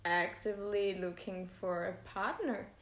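Young woman student co-host, crisp bright voice, self-deprecating, echoey room with faint hiss. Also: mid-range voice, fairly even intonation, a little slow. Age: young adult. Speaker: podcast co-host. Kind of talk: casual chat.

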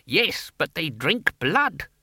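Sarcastic, nitpicking senior wizard for comic fantasy audiobook, voiced by a man: high, sharp, swooping. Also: very animated, slow, husky, no echo, clean studio sound, full character voice. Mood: sceptical. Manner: nitpicking, mockingly rhetorical. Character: pedantic, sarcastic, horse-faced male wizard philosopher.

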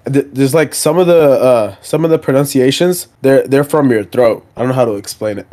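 Young guy podcast cohost, middle pitch, rambly and halting, keeps restarting sentences. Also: warm tone. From Pakistani family background. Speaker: podcast co-host. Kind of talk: casual chat.

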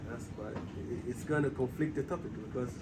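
A man in his forties from Ghana, guest speaker at an evening talk, speaking softly and haltingly with many pauses and fillers. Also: mid-range voice, very noisy. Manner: soft-spoken, hesitant with many fillers. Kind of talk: casual lecture talk.

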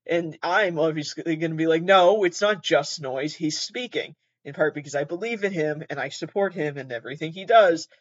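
A man's voice: on the high side, normal speed, hardly any pauses, no room sound, lo-fi sound. A man hosting a podcast in a very nasal voice, rambling casually, swearing freely and laughing at himself. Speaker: podcast host.

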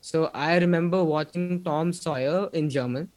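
Young man, Indian English accent, guest on a video-call interview; easygoing, filler-heavy, slightly high voice. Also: normal speed, darkish tone, no echo. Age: young adult. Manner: rambling, many fillers like "like" and "um". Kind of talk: casual interview chat.

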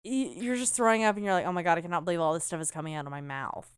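A woman co-hosting a podcast in casual chat, with a loud, booming voice and a teasing, animated way of talking full of fillers. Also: racing, clean tone, no echo, studio quality. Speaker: podcast co-host.

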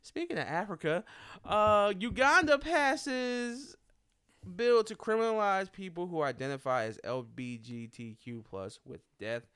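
A male podcast co-host with a bright, clear voice. He reads news items aloud and reacts bluntly, with plenty of swearing and frequent restarts. His delivery is loose and conversational. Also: high voice, a little slow. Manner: swears freely, laughs often, trails off and restarts. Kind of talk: casual chat, reading news headlines.

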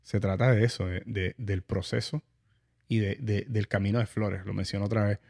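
A man with a Venezuelan accent hosting a casual, reflective conversation, haltingly repeating words as he thinks aloud. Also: fairly low pitch, fairly even intonation, gravelly, a little mellow. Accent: Venezuelan Spanish. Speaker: interview host.

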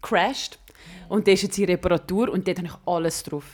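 A woman in her thirties hosting a casual chat, curious and quick with questions and agreement. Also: lowish voice. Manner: chatty, curious, lots of quick mhm's. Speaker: host.

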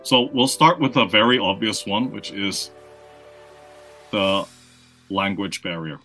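Low, bright-voiced man explaining patiently. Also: slow, faint music behind. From Austria, grew up in the Philippines. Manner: explanatory, frequent "right?" tags and restarts. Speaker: guest, marketing consultant. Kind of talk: conversational interview answers.

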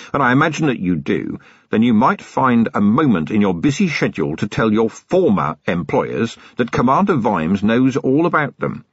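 A man's voice: on the low side, slightly rough, no echo, muffled recording, full character voice. A man reading an audiobook, voicing a tough middle-aged male police commander who is stern and dryly sarcastic.

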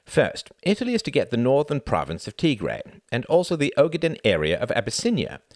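A man voicing a confident young diplomat in an audiobook, explaining in a brisk, self-assured way. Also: mid-range voice, wildly swooping pitch, no echo, studio quality, voice pushed up. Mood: lecturing. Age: 20s.

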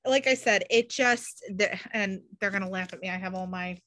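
A woman's voice: mid-range voice, moderate pace, bright, forceful tone. A woman of about thirty hosting a casual podcast chat, emphatic and peppering her talk with "like". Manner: emphatic, frequent "like" filler. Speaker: podcast host.

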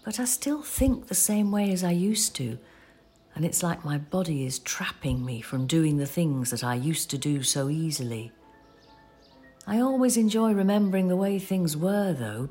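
An actress playing a patient, practical elderly wife in an audio play, explaining things in a fond, conversational way. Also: female voice, fairly low pitch, lively intonation, normal speed, lightly acted. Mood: reflective. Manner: conversational, gently explaining, reassuring. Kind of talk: audio play acting with reflective narration.

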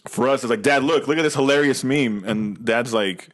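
A man's voice: medium pitch, moderate pace. An American man co-hosting a podcast in casual chat, rambling and slangy, often repeating himself as he gets to his point. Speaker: podcast co-host.

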